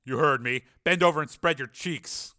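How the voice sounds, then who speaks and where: A man's voice: fairly high pitch, normal speed, bright, forceful tone, voice pushed up. Man acting a barking, contemptuous army sergeant. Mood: gruff, commanding. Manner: barking, coarse, commanding. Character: gruff, contemptuous male army sergeant, bullying and crude.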